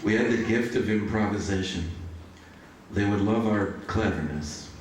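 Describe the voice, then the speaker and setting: A man reading poetry aloud at a live event in a measured way. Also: fairly low pitch. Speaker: poetry reader. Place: bookshop event, recorded from a distance. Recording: echoey room from far off, faint noise.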